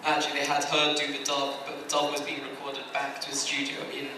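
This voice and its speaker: British male panelist, slightly high voice, casual rambling anecdotes, heard from far off in a heavily echoing room. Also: monotone, fairly quick. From Scotland. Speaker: anime industry panelist. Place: large room at a fan convention. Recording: very echoey hall, distant mic, clear noise.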